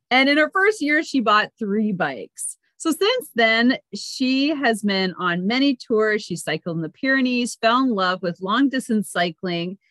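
A Canadian woman hosting a podcast, chatting casually and excitably as she interviews a guest. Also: fairly high pitch, unhurried, hardly any pauses, dry recording. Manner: excitable, enthusiastic.

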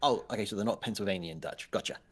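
A man's voice: on the low side, fast, breathy edge. A man in his thirties co-hosting a casual podcast chat, playful and teasing, laughing often. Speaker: podcast co-host.

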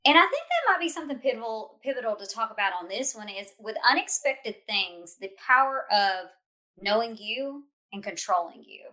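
A woman hosts a relaxed conversation, asking reflective and encouraging questions. Her voice sits a bit high and sounds bright and sharp. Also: normal speed. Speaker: host. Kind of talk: casual interview conversation.

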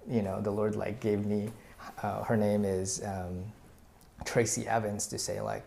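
A man whose first language is Tagalog talks through a personal story with a gravelly undertone. He speaks earnestly and keeps restarting his sentences with many fillers along the way. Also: on the low side, little pitch movement, a little slow, mellow, a little hiss or hum. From Philippines. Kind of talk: casual faith conversation, personal story.

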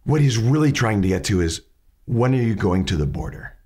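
A man hosting a lesson on asking questions, his voice raspy from hay fever, explaining step by step and often restarting his sentences. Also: fairly low pitch, lively intonation, brisk, a little mellow. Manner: methodical, restarts mid-sentence while explaining. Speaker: podcast host teaching questioning.

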